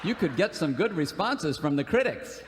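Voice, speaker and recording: A man's voice: on the high side, sing-song, moderate pace, big-room sound, over a noisy crowd, heavy background noise. A man reading letters aloud and bantering in a folksy, joking way on a live comedy radio show. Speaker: radio show performer and singer.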